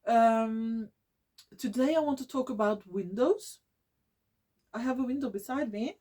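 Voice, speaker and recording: A woman's voice: a little high, unhurried, dark tone. Norwegian woman vlogging, hesitant, many fillers. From Norway. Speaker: environmental vlogger. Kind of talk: casual talk to camera. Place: living room at home.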